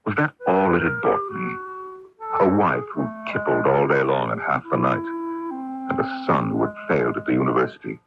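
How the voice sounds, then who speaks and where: Gravelly, deep-voiced bitter wealthy father. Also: huge pitch swings, normal speed, loud music behind the voice, full character voice. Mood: bitter brooding. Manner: brooding, sardonic, grumbling. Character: wealthy, bitter self-made father and domineering husband. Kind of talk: radio drama acting. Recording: muffled early-1980s AM radio broadcast.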